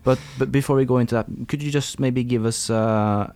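A man's voice: medium pitch, fairly even intonation, brisk, darkish tone. A man hosting a podcast, asking questions in a chatty, informal way with frequent fillers. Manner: chatty, laughs often, many fillers. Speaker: podcast host. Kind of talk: casual interview hosting.

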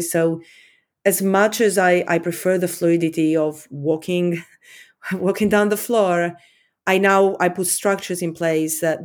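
Romanian-born woman in her forties, lowish voice, reflective guest who circles back on her words. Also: darkish tone. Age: 40s. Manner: thoughtful, repeats words, many fillers. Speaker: company leader, guest. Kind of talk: interview conversation.

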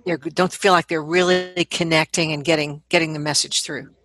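A middle-aged woman, a vocal coach, explaining things conversationally as an interview guest, in an animated manner. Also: deep voice, normal speed, dry recording.

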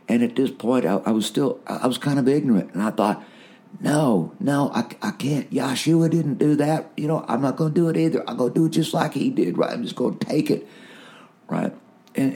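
A man's voice: mid-range voice, a little mellow. A man giving an earnest spiritual testimony, repeating himself and checking in with "right?", with a big voice that climbs when stirred. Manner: earnest, repetitive, punctuates with "right?". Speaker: preacher.

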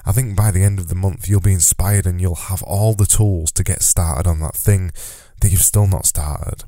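A man's voice: low voice, fairly even intonation, moderate pace, warm tone, dry recording, clean studio sound. A man hosting his own podcast in a solo monologue, with a slightly nasal voice, talking earnestly and repeating words for emphasis. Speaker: podcast host. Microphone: close podcast mic.

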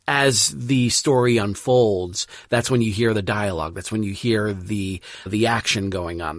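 A man's voice: lowish voice, no echo, studio quality. A man hosting a podcast, introducing the show in an enthusiastic, emphatic way. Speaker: podcast host and presenter. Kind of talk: semi-scripted show introduction.

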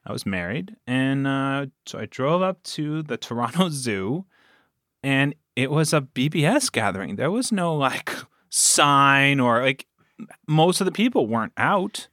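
A man tells stories casually as a guest on a show. His voice is average in pitch and recorded very dry, and he has a joking, chuckly manner. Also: big pitch swings, measured pace, sharp tone, no echo. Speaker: podcast producer, guest. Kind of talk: casual storytelling chat.

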